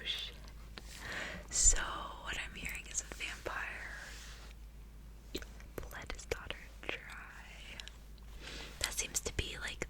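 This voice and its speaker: Young woman in a breathy, expressive whisper. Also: lowish voice, rough recording. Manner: soft, hushed, expressive. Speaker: ASMR reader. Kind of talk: whispered ASMR book reading with casual asides. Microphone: close ASMR microphone.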